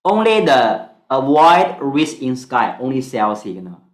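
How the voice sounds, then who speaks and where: A Singaporean man whose first language is Mandarin, leading a stock class in slow, hesitant English. He fills pauses with "uh" and fragments, at a middle pitch, and the sound is echoey. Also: sing-song. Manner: halting, many fillers, broken English. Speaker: stock analysis teacher. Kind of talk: online class lecture.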